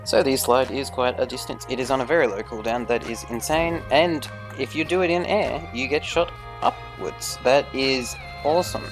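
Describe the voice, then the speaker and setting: A man's voice: mid-range voice, normal speed, few pauses, over music, poor audio. A man hosting a gaming video, giving gameplay commentary in careful, formal phrasing with few contractions.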